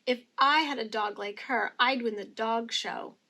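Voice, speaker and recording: A woman reads a children's story aloud in a high voice. Her delivery is expressive and teacherly, phrased carefully for young listeners. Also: unhurried. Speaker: storybook reader.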